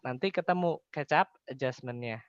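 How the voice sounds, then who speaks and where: A man's voice: mid-range voice, moderate pace, clear, resonant tone, dry recording, highs cut off. A young man tutoring informally, explaining step by step and often asking questions he then answers. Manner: poses questions then answers them, colloquial. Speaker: accounting tutor.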